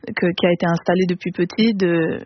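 A woman singer answers radio interview questions in a flat, even tone, pausing and restarting as she searches for words and drops English phrases in. The broadcast sounds dull and lo-fi, with faint hiss. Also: fairly low pitch. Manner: thoughtful, halting, many restarts, mixes in English words. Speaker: singer, guest artist.

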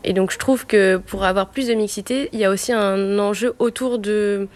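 A woman's voice: mid-range voice, fairly even intonation, normal speed, darkish tone. A young woman from France, a civil service student, answering interview questions earnestly with many hesitations.

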